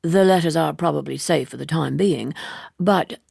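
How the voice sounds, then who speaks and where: A woman voicing a suave, worldly gentleman in an audiobook, leisurely, wordy and teasingly ironic, an amused seducer. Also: sits low, moderate pace, gravelly, husky, no room sound, clean studio sound, full character voice. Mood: smooth, amused. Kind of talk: audiobook character dialogue.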